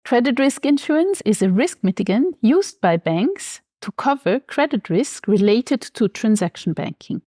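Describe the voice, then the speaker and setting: A woman from Switzerland, a banker being interviewed, explaining finance in a measured, precise way. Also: a little high, lively intonation, mellow, dry recording, studio quality.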